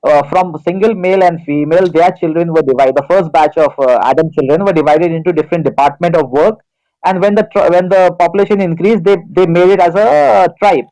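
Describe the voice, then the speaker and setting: An Indian-accented man with a fairly high voice explains his view in an informal discussion. He is insistent, repeats his key points and often halts to restart a phrase. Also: mellow, poor audio. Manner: insistent, repetitive, halting with frequent restarts. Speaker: discussion participant in an audio chatroom.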